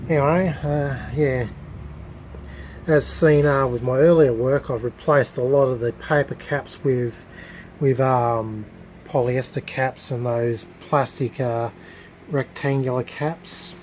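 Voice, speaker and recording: A man gives a casual, unscripted hands-on demonstration as a hobbyist restorer, talking haltingly and searching for his words. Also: medium pitch, steady tone, darkish tone, a little hiss or hum. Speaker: hobbyist electronics restorer presenting a video.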